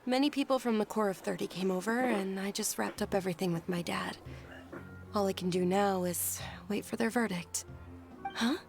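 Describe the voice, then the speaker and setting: An actress playing a polite, tender-hearted young noble lady in a video game, earnest and gentle in manner. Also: medium pitch, normal speed, gravelly, lightly acted. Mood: concerned. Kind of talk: scripted game dialogue. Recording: music under the voice.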